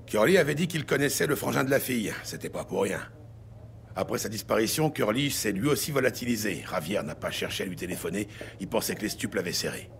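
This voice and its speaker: An actor playing a tough, blunt New York police detective in a French audio drama, pressing and insistent. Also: male voice, on the low side, expressive, fast, vocal fry, slight background noise. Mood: explanatory. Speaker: police detective interrogating suspects. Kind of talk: dramatic audio series acting.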